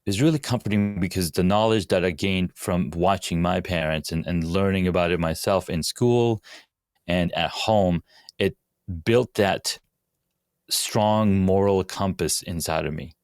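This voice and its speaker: Low, smooth-voiced man from Pakistan. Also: a little slow, no echo, clean studio sound. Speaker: podcast guest. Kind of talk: casual interview answers.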